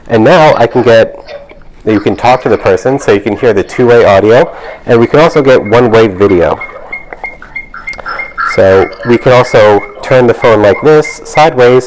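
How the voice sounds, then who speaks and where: A man's voice: on the low side, darkish tone, poor audio. A man demonstrating a product, explaining it step by step in an instructional way.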